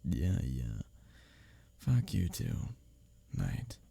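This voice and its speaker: A man's voice: extremely low pitch, huge pitch swings, drawn-out words, gravelly, mellow, breathy edge, heavily acted. A man playing a bickering but secretly caring workplace rival in an intimate ASMR audio roleplay, with a teasing, sardonic manner. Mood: tired exasperation. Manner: intimate, teasing, sardonic, chuckling between lines. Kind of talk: ASMR audio roleplay, one-sided dialogue.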